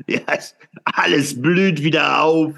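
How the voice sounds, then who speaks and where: Gravelly, fervent brooding philosopher. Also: male voice, on the high side, fairly bright tone, full character voice. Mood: rapturous, feverish. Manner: declamatory, halting, with dramatic pauses and repetitions. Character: fervent, brooding male philosopher proclaiming eternal return. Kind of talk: theatrical radio play monologue.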